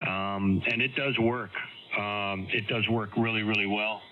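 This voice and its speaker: A man's voice: lowish voice, fairly even intonation, measured pace, phone-quality sound. Congested man on noisy ham radio. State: congested with a head cold. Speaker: amateur radio operator on a group call. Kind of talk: casual chat over ham radio.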